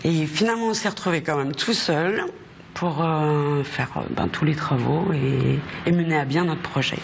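Female restaurateur, middle-aged, informal interviewee, pausing to find words, clear ambient noise behind. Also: low voice. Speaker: restaurant co-owner. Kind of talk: casual interview answers. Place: restaurant dining room.

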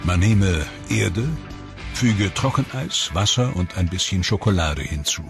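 A man's voice: low-pitched, animated, unhurried, vocal fry. A man reading scripted narration for a science documentary. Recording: music under the voice. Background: background music.

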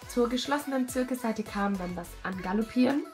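Woman, young adult, hoarse from a cold, casual self-critical commentary, soft music underneath. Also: a little high, fairly quick. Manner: self-critical, chatty, many fillers. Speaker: rider commenting her own show-jumping test video. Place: at home, voice-over.

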